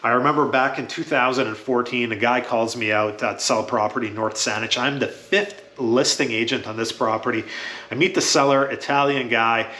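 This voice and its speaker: A man's voice: medium pitch, fairly even intonation, normal speed, sharp tone, echoey room, slight background noise. A man whose first language is Croatian, talking casually and matter-of-factly to camera as a real estate agent, with frequent "uh" fillers.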